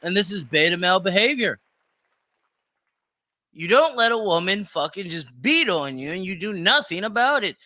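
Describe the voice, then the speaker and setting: A man's voice: sits high, lively intonation, normal speed, sharp tone, dry recording. A man hosting a podcast, giving opinionated reaction commentary in a brash, profane, exclamatory way. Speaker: podcast host.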